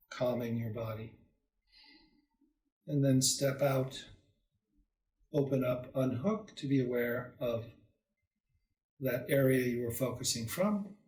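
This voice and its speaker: A man leading a guided meditation as a teacher, calm and soothing, leaving long pauses between short phrases. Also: medium pitch. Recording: roomy echo, distant microphone.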